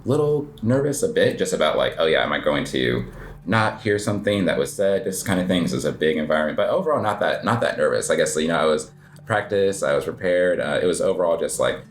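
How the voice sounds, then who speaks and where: Very deep-voiced relaxed man. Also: brisk, slight background noise. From United States. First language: English. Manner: relaxed, rambling, heavy filler words, self-deprecating laughs. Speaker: guest, cafe owner and coffee competitor. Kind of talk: casual interview chat.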